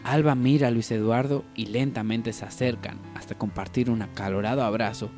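A man's voice: mid-range voice, steady tone, a little fast, a little mellow, soft background music. A man narrating a parody radio soap opera in a mock-dramatic storytelling style.

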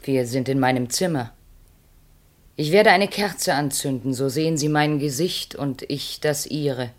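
An actress playing a commanding, fatalistic seductress in a solemn 1960s German radio play, speaking with deliberate, incantatory phrasing. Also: female voice, extremely low pitch. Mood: seductive, commanding. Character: commanding, fatalistic seductress demanding her husband's death.

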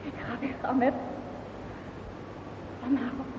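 A woman's voice: sits high, level tone. Tinny-sounding, fragile widowed woman. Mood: subdued, explaining. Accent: Netherlands Dutch. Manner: halting, pleading, repeats words. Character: fragile, lonely widowed woman, timid and anguished. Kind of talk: radio drama acting. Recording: tinny, noisy old radio recording.

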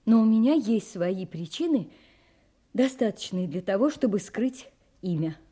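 A woman's voice: medium pitch, lively intonation, a little slow, dark tone. An actress playing a guarded, dignified young female suspect in a radio play, speaking with reserve and resolve. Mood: guarded, resolute. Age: young adult.

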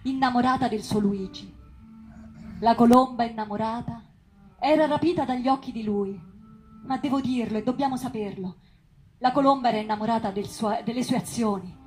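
A woman's voice: fairly high pitch, normal speed, soft background music, close to an everyday voice, rough recording. A woman acting a passionate storyteller in a lyrical theatrical monologue about a tragic love. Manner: lyrical, impassioned.

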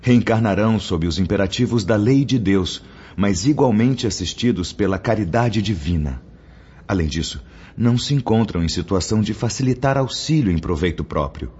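An actor playing a calm, authoritative spirit mentor in a radio drama, speaking in a formal, didactic way. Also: male voice, lowish voice, normal speed, husky. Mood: instructive, grave. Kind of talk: radio drama acting, formal instructive speech. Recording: dull lo-fi audio. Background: background music.

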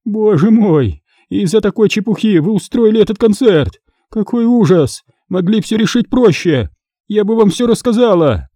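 Guy doing a scared whiny older woman crook from an audiobook, high voice, pleading and flustered, coarse talk. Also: expressive, moderate pace, mellow, dry recording, studio quality. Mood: exasperated, moaning.

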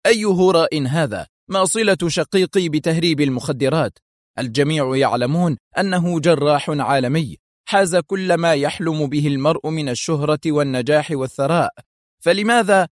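A man's voice: medium pitch, fast talker, no echo, clean studio sound, voice pushed up, close to an everyday voice. A man voicing a determined, indignant male intelligence officer in an Arabic audiobook thriller. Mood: shocked, indignant. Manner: indignant, firm, clipped questions and demands.

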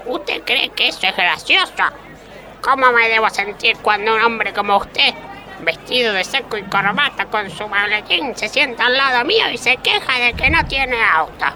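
A woman's voice: a little high, animated, moderate pace, bright, forceful tone, a faint crowd, rough recording. An actress playing an indignant, rambling old working woman with an Argentine accent in a comic radio play. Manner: argumentative, rambling, self-contradicting complainer. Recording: faint vehicle and street noise, people behind.

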